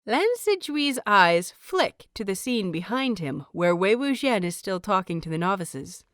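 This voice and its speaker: A woman with a mid-range voice reads fiction as an audiobook narrator. Her delivery is unhurried and expressive, with deliberate dramatic phrasing, recorded dry. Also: mid-range voice, no echo.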